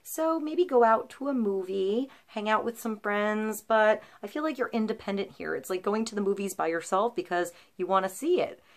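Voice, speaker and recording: A woman's voice: mid-range voice, expressive, normal speed, few pauses. A woman in her thirties doing an intuitive tarot reading to camera, flowing and reassuring, with frequent ums and likes. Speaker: tarot reader. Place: small indoor room, seated before a painted wooden backdrop.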